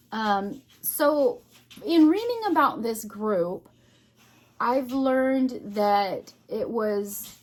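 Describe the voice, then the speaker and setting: A woman giving an unscripted, casual hobby vlog, thinking aloud in short, halting phrases. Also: a little high, a little mellow, a little hiss or hum, poor audio. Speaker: craft vlogger.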